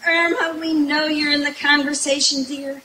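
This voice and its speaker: Woman playing a high-voiced flustered radio comedy secretary. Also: little pitch movement, moderate pace, sharp tone, slight background noise. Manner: flustered, hesitant, exclaiming. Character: flustered, long-suffering young secretary narrating her woes. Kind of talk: old-time radio comedy acting. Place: outdoor woodland stage, live performance. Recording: echoey live outdoor stage sound.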